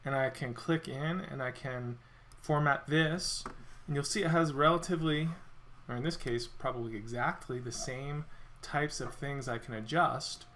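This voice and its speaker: A man walking through a software feature in a screen-recorded demo, explaining step by step, his voice a little worn as he clears his throat. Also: mid-range voice, normal speed, slight background noise. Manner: relaxed explanatory walkthrough with self-corrections. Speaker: software product presenter.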